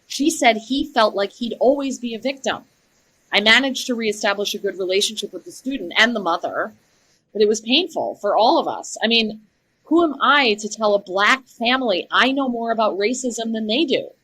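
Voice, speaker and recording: An actress playing a young, troubled new teacher in an audio drama, speaking earnestly and reflectively. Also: female voice, fairly high pitch, normal speed, a bit bright, lightly acted, poor audio. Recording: clear background noise and ambience.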